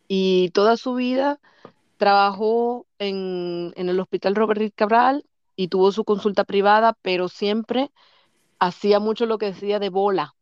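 A woman in her fifties with a Dominican accent, recounting her past in a relaxed, lively way and laughing often. The sound is dry and a bit dull and lo-fi. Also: medium pitch, smooth, no echo. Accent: Dominican Spanish. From Dominican Republic. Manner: lively, laughs often, acts out other people's lines. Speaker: guest. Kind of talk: casual storytelling interview.

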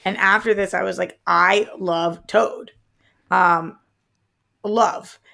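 A woman's voice: medium pitch, steady tone, slow, vocal fry, pushed and bright. A woman co-hosting a casual movie discussion, giving her opinions in an enthusiastic, conversational way. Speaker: co-host of a movie discussion show.

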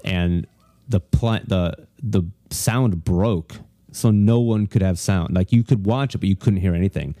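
Deep-voiced man telling rambling stories. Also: a little slow, warm tone, dry recording. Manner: rambling storyteller, many restarts. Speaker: stand-up comedian guest. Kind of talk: casual podcast chat.

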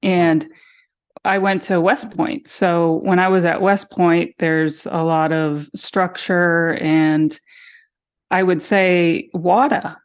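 A woman in her forties, raised in Massachusetts with her Boston accent mostly gone, recounting her family in a relaxed interview. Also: on the low side, takes it slowly, smooth. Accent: General American, Boston accent mostly gone. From Massachusetts, USA. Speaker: interview guest, sister of missing woman. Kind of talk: casual interview conversation.

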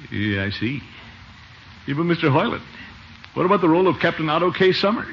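A man's voice: on the high side, very animated, fairly quick. An American actor playing a pompous, flustered middle-aged man who fancies himself a singer, in 1940s radio comedy style. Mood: anxious, self-important. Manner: pompous, blustering. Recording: muffled, noisy vintage radio recording.

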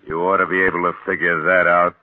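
An actor playing a cold, scheming husband in a 1940s radio thriller, controlled, guarded and quietly menacing. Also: male voice, deep voice, level tone, quick pace, lightly acted. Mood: cold menace. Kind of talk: 1940s radio drama acting. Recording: muffled, tinny old radio broadcast.

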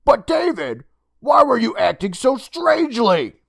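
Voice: medium pitch, very animated, measured pace, creaky, fairly bright tone, voice pushed up, heavily acted. A reader voicing a fussy, proper mother who talks to her son in a raised, prim tone. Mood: polite.

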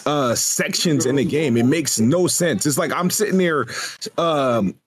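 A man's voice: fairly high pitch, a little slow, a crowd in the background. A man co-hosting a podcast, chatting casually about games in slangy, profane language. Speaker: podcast co-host. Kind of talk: casual gaming chat.

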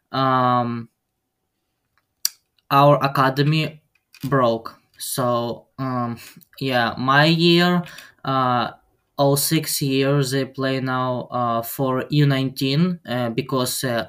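A teenage boy from Ukraine with a Ukrainian accent, speaking halting non-native English full of pauses and fillers as a podcast guest. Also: medium pitch, fairly even intonation, a bit bright, clean tone. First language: Ukrainian and Russian. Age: teens. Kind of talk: podcast interview.

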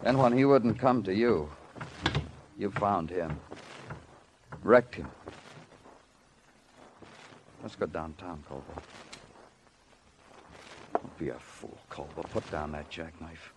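Low-voiced hard-boiled detective. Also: male voice, lively intonation, slow pace, long pauses, noisy background. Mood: stern. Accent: American. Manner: clipped hard-boiled delivery, brooding narration. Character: tough, world-weary male New York police detective. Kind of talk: 1940s-50s radio crime drama acting. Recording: vintage radio drama with loud music.

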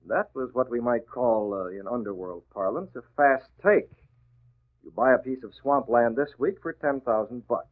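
A man's voice: medium pitch, moderate pace, lightly acted. Man acting a mocking tough vigilante, tinny old radio. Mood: sardonic, cool. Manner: sardonic, clipped, menacing tough-guy talk. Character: tough, mocking masked male vigilante menacing crooks. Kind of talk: 1940s radio drama acting. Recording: muffled, narrow-band old radio broadcast.

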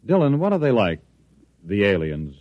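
An actor playing an earnest, worried space colonist leader, insistent, in old-time radio drama style. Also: male voice, lowish voice, huge pitch swings, moderate pace. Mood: baffled, insistent. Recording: tinny vintage radio broadcast.

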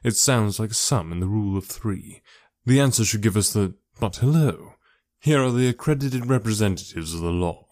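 Man acting a keen male detective, fairly low voice, quiet, dry-humoured, precise, instructive, storybook reading, very little room sound. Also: animated, moderate pace, dry recording, close to an everyday voice. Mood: brisk, keen.

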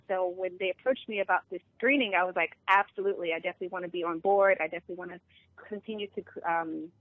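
A woman, a film festival founder, chatting as a guest on a radio show by phone, bubbly, laughing often and using many fillers. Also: medium pitch. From Liberian family. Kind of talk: casual radio interview. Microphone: phone line. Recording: tinny dry phone line.

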